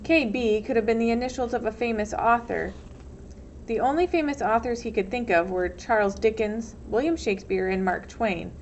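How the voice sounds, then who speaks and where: Female read-aloud narrator, higher voice, expressive teacherly delivery; slightly muffled home-grade recording with faint noise. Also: normal speed. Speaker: teacher reading a book aloud. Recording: dull lo-fi sound, faint noise.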